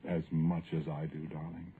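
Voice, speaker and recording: A man's voice: a bass voice, little pitch movement, moderate pace. An actor playing a guilt-ridden, grieving man who narrates his ghost story in a solemn, literary radio drama style. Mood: tender. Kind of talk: vintage radio horror drama acting. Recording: noisy, narrow-band vintage radio recording.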